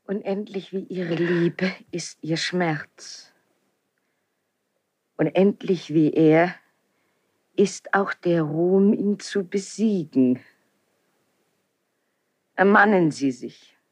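A woman's voice: lowish voice, extremely slow, pauses often, slightly rough, no echo, heavily acted. An actress playing a dignified, fervent young queen with a solemn, declamatory delivery in a classical radio drama.

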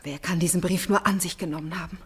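An actress playing a troubled, suspicious young lady of the house in a German radio play, with a husky, breathy voice and hesitant, restrained delivery. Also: lowish voice, fairly even intonation, quick pace. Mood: uneasy, probing.

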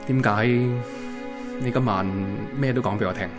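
A man's voice: medium pitch, moderate pace, lightly acted. Man acting a casual young Hong Kong love interest over music. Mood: probing. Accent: Hong Kong Cantonese. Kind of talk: radio drama dialogue.

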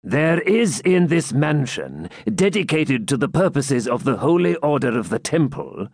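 A man voicing a severe elderly Grand Master of a holy order, speaking slowly and forcefully as he accuses. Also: medium pitch, sing-song, no room sound, voice pushed up. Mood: stern. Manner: slow, forceful, accusing delivery.

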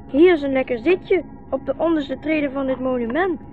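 Young boy, played by a woman, high-pitched, earnest, trusting. Vintage radio play with muffled sound. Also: moderate pace, faint music behind, close to an everyday voice. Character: young boy, hungry, footsore, trusting guide. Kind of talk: 1960s radio play acting. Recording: muffled, hissy 1960s radio recording.

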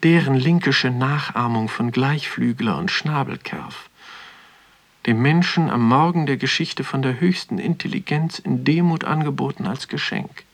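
An actor playing a pensive young man who muses aloud in deliberate, poetic phrases in a radio drama. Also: mid-range voice. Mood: solemn, rapt. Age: young adult. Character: pensive, awestruck young man wandering a museum. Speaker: narrator and protagonist of a radio play. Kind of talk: literary interior monologue, radio drama.

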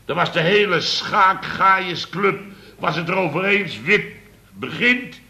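Radio comedy: an exasperated company boss, very forceful bright voice, theatrical sarcasm, sputtering bluster. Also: male voice, high-pitched, expressive, slow, creaky, heavily acted. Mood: indignant. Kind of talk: radio comedy serial acting.

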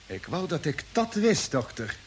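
An actor playing a thoughtful, decisive space expedition commander in a radio drama. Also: male voice, a little high, big pitch swings, measured pace. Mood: puzzled, uneasy. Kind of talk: 1950s radio drama acting. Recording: old radio recording, faint hiss.

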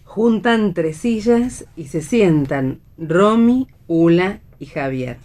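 A woman's voice: lowish voice, lively intonation, slow, a little mellow. A woman narrator reading stage directions as scripted narration for radio theatre.

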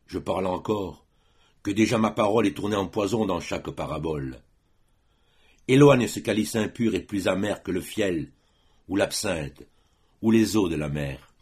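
A man reads verse aloud as an audiobook reader, in a solemn, dramatic recitation with measured, declamatory phrasing. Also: lowish voice, husky.

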